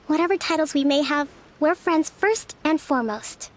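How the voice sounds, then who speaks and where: High-voiced, composed young female strategist. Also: animated, slight background noise. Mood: friendly, reassuring. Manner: measured, articulate, formal yet friendly. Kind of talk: video game character dialogue.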